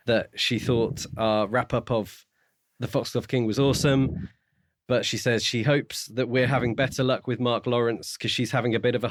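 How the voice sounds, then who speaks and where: A man co-hosting a podcast, talking through books in a casual, measured way as he thinks aloud. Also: medium pitch, no room sound, clean studio sound. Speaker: podcast co-host. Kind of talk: casual book discussion.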